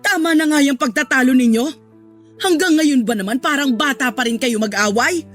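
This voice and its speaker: A woman's voice: high-pitched, soft background music. An actress playing a tearful, pleading Filipino mother and grandmother in a radio drama, drawing out her words. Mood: exasperated. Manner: drawn-out, pleading, clinging.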